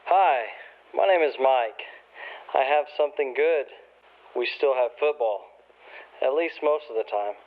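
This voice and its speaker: An actor playing a mild, plain-spoken football fan calling in during a radio play. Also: male voice, medium pitch, measured pace, pauses often, natural voice. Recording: slightly dull caller line.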